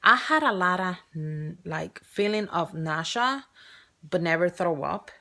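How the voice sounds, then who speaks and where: A woman's voice: fairly low pitch, animated, a little slow, pushed and bright. A woman in her thirties with a strong Spanish accent, talking openly and chattily in a personal vlog, often restarting and correcting herself. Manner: chatty and open, with frequent restarts and self-corrections. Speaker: vlogger.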